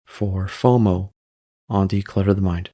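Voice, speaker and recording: A man narrating a guided meditation in a calm, unhurried way, pausing between short phrases. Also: deep voice, no echo, studio quality.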